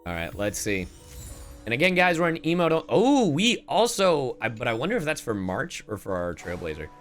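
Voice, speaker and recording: A man giving live gameplay commentary as a streamer, thinking out loud in a halting, exclaiming way. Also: mid-range voice, wildly swooping pitch. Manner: musing, exclaiming, halting as they reason. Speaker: game streamer. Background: game music and dialogue.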